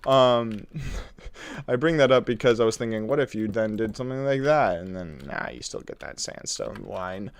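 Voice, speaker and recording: A man's voice: on the low side, animated, normal speed, a little hiss or hum. A man live-streaming a building game, chatting in a playful, rambling way with frequent chuckles, sounding congested. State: congested, runny nose. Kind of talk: casual live-stream chat while building.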